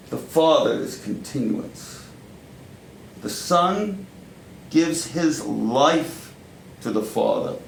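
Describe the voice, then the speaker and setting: Man acting gravelly New power lawyer, fatherly. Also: medium pitch. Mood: reflective, probing. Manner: deliberate, emphatic, persuasive. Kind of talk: dramatic stage monologue. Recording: roomy echo, faint background noise.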